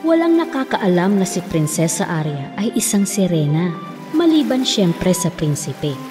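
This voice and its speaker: A woman's voice: lowish voice, very animated, unhurried. A woman narrating a children's fairy tale as a storyteller, in a scripted style. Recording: long reverb, music behind.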